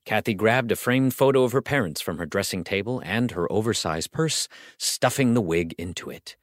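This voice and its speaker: Animated male audiobook narrator, dry sound. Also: on the low side, moderate pace, no echo. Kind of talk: scripted dramatic story narration.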